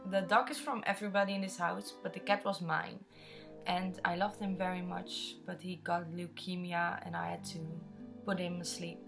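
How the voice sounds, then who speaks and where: A woman's voice: lowish voice, creaky. Chatty young Dutch woman, music behind. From Netherlands. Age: 20s. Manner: chatty, open, rambling storyteller. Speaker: vlogger answering viewer questions. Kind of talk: casual chat.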